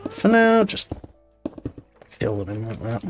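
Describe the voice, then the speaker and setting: A man's voice: lowish voice, sing-song, a little slow. A man chatting casually while he plays a building game, thinking aloud and rambling. He sounds tired and stuffy-nosed, with a cold. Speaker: streamer. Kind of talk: casual gameplay commentary. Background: background music.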